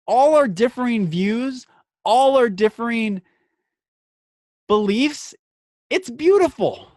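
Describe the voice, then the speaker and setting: A man's voice: extremely high pitch, lively intonation, darkish tone, dry recording, clean studio sound. Earnest man giving an inspirational podcast monologue. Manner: earnest, emphatic, dramatic pauses and short phrases. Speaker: podcast host and speaker.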